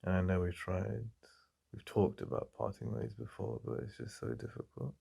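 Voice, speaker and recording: An English man speaking softly and intimately in a boyfriend ASMR roleplay, halting and heartfelt. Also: low-pitched, very animated, gravelly, slightly rough.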